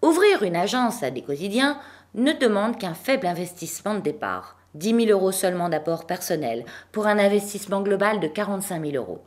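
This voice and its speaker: A woman presenting a business news bulletin in a clear, measured read delivery and interviewing guests. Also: medium pitch, sing-song. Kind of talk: scripted news narration.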